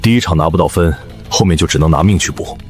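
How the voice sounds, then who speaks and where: An actor playing a brash, loyal, foul-mouthed fighter with a coarse, blustering, blunt manner in an animated web drama. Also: male voice, extremely low pitch, fast talker. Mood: grateful, earnest. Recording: music behind the voice.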